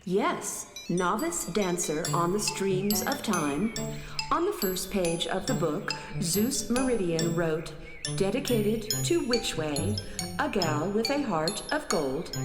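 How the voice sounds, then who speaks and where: An echoing female android mind in a children's radio play, played by a woman. She is gentle and literal, explaining everything in measured, orderly phrases. Music plays softly behind her in a long hall-like reverb. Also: mid-range voice, expressive. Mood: pleasant, naive. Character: gentle, matter-of-fact female planet-powering starship android.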